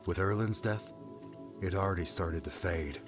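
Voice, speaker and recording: A man's voice: deep voice, normal speed, music in the background, lightly acted. A man voicing a hot-headed, fiercely loyal young adventurer, earnest and full of grief, in an audiobook drama. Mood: hollow grief. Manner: earnest and emotional. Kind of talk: dramatic audiobook character acting.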